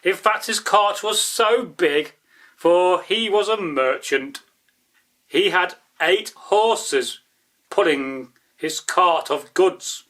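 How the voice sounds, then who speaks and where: A man telling an old folktale as a storyteller, in a deliberate, emphatic, dramatic way that builds by repeating phrases. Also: sits high, fairly bright tone. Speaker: storyteller, channel host.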